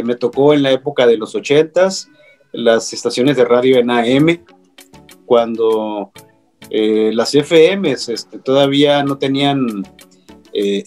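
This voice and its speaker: A middle-aged man with a Mexican Spanish accent, a radio broadcaster speaking on a panel, pausing often with fillers. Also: mid-range voice, a little mellow. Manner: hesitant, many fillers. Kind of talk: panel conversation.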